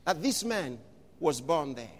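Male preacher, lively high pitch, fervent sermon in a big reverberant hall. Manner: impassioned, shouting and drawn-out emphasis.

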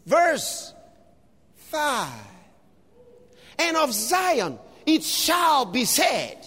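Male preacher, very high voice, slow emphatic delivery, long hall reverb. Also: big pitch swings. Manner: emphatic preaching, often shouting. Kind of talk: sermon.

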